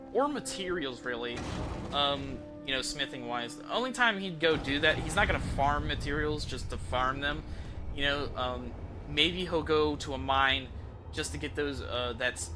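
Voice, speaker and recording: A man with a medium-pitched voice streams a video game. His commentary is casual and rambling, circling back over his points, with game music clearly audible behind him. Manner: rambling, informal, repeats himself. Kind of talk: casual live gameplay commentary. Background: game music and sounds.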